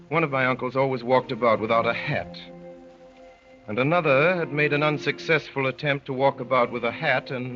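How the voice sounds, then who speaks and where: An actor playing a wry detective-poet, narrating his adventure in a measured, literary 1930s radio drama style. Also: medium pitch, close to an everyday voice. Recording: muffled vintage 1930s radio recording.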